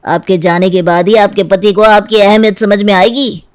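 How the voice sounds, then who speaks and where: Meddling male lawyer performed by a woman, smug, smooth-talking insinuation for an audio story. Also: mid-range voice, level tone, moderate pace, natural voice. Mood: disapproving. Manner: reassuring, insinuating. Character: smug, meddling male divorce lawyer egging on his client.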